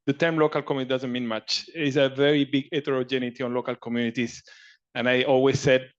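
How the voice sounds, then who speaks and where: A man's voice: medium pitch, level tone, fast, highs cut off. A man from Chile speaking earnestly in a meeting discussion, often repeating and restarting his words. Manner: earnest, repetitive, searching for words. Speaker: panelist.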